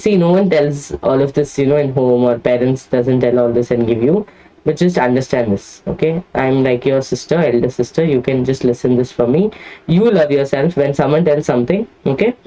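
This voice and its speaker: A young Indian woman teaching an online biology class. She has a deep voice with plenty of bass, speaks straight to her students, and tags her sentences with "okay?". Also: normal speed, dark tone. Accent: Indian English. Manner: talks to students directly, tags many lines with "okay?". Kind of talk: live online class, chatty motivational aside. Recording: dull lo-fi online class audio.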